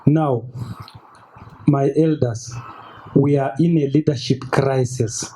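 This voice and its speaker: A young man in the audience making an earnest comment, pausing often to find his words. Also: mid-range voice, fairly even intonation, dark tone, breathy edge, rough recording. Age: young adult. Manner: earnest, halting with fillers. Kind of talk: public comment.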